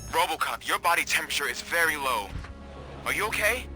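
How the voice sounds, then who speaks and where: An actor playing an anxious, earnest police rookie in a video game, with a worried, hesitant manner. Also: male voice, a little high, expressive, brisk. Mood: concerned. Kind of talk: video game cutscene acting. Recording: loud music behind the voice.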